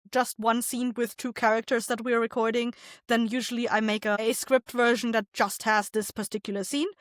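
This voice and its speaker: A woman's voice: a little high, no room sound, studio quality. A woman speaking as an interview guest, explaining her work as a director in a conversational style.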